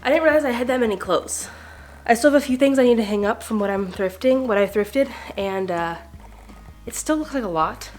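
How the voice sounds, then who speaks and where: A woman's voice: a little high, brisk, darkish tone, soft background music. A young woman talking in a casual, upbeat, informal way, as a vlogger addressing her viewers. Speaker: vlogger hosting a home declutter channel. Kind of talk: casual chatty vlog.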